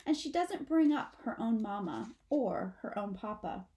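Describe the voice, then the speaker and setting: A woman reading a children's story aloud like a teacher, in a measured, expressive way. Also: fairly high pitch, poor audio. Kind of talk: storybook narration.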